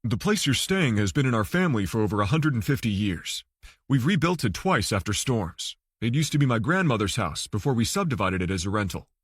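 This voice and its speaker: A man's voice: mid-range voice, very animated, brisk, husky, no room sound, studio quality, pitched below the performer's own voice. A man voicing a sociable, casual island-born man in an audiobook. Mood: chatty. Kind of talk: audiobook character dialogue.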